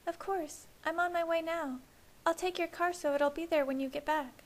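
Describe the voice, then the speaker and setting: A woman voicing an anxious young woman with a high, breathy voice that shakes as she talks. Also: fairly even intonation. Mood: reassuring. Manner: shaky, hurried.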